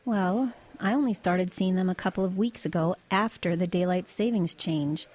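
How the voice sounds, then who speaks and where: A woman's voice: on the low side, normal speed, darkish tone, close to an everyday voice. A woman playing a level-headed truck driver in an eerie audio drama, talking in an everyday conversational way. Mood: casual, evasive. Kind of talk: audio drama dialogue and narration.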